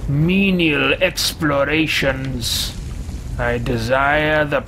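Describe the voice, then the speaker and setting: Man playing a pompous, slow-spoken fantasy mage. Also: a little high, fairly even intonation, pushed and bright, a little hiss or hum, heavily acted, poor audio. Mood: bored, imperious. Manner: pompous, disdainful, theatrical, formal wording. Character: haughty, greedy male mage adventurer, easily bored and scornful. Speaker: let's-play host roleplaying a game character. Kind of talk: theatrical in-character game commentary.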